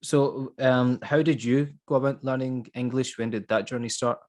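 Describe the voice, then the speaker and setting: A man's voice: medium pitch, steady tone, normal speed, darkish tone. Man hosting a podcast interview. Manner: brief encouraging backchannels and questions. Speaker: podcast host. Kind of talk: casual interview conversation.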